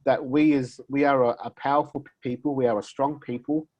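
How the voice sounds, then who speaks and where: A man's voice: mid-range voice, steady tone, a little fast, darkish tone, dull audio. A man from Australia, a guest in an interview, talking loosely with many fillers and restarts. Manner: rambling, many "you know" fillers and restarts. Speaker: interview guest, community advocate. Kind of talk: casual conversational interview.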